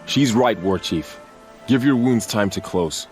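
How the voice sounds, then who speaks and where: Guy doing a gruff proud warrior in a game, really deep voice, music under it. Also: unhurried, a little mellow, close to an everyday voice. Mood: insistent. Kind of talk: video game cutscene acting.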